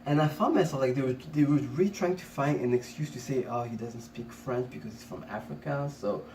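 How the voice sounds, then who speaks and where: A man's voice: medium pitch, brisk. A man from Congo talking in casual conversation as a co-host, rambling with many restarts and fillers, recorded from a distant mic. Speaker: podcast co-host. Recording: roomy, distant mic, faint noise.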